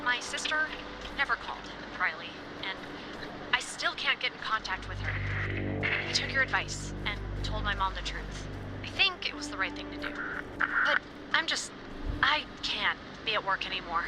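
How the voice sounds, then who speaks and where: A woman playing an earnest, worried radio caller in a video game, speaking sincerely and haltingly over a two-way radio. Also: medium pitch, animated, heavy background noise. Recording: loud music behind the voice. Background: loud music.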